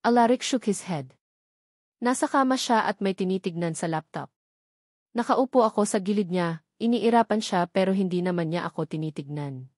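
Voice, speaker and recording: A woman's voice: mid-range voice, animated, moderate pace, a little mellow, no room sound. Dry-sounding woman narrating a romance novel. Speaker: story narrator. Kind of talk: scripted first-person romance novel narration.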